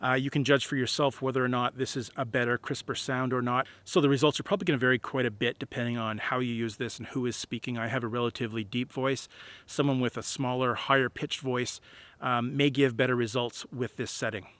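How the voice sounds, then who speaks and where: A man's voice: mid-range voice, steady tone, a little fast, fairly bright tone, dry recording. A plain-spoken man explaining gear in a casual review to camera, recorded outdoors on an on-camera microphone. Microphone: on-camera shotgun microphone.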